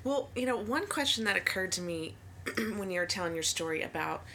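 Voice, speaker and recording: A woman's voice: mid-range voice, animated, fairly quick, slight background noise. A woman therapist hosting a podcast interview, affirming her guest and asking probing questions. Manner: warm-voiced affirming listener, many mm-hmms, probing questions. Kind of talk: conversational interview.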